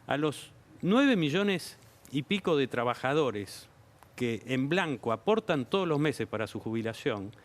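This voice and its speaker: Measured Argentine government official. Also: male voice, medium pitch, lively intonation. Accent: Argentine Spanish. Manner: measured, explanatory, policy talk with figures. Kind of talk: TV interview answers. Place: TV studio.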